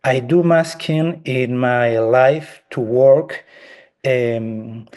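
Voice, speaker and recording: A man's voice: medium pitch, a little mellow. A man from Argentina, a native Spanish speaker with an Argentine accent, introducing himself carefully on a panel. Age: adult father. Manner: slow and careful, with repeated restarts.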